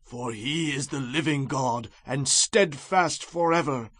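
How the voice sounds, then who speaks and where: A man's voice: medium pitch, slow pace, a bit bright, no echo. An actor playing an anguished, reverent king in a dramatized Bible audio production, with a stately, declamatory delivery. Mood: solemn proclamation. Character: anguished, reverent Persian king, loud and solemn.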